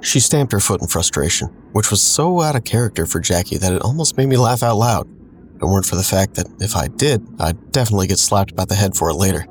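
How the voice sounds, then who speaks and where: Low-voiced, wry, sardonic narrator. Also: male voice, lively intonation, fast talker, slight background noise. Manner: sardonic, digressive. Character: wry, self-deprecating man narrating his reckless youth. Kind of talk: scripted first-person audio drama narration.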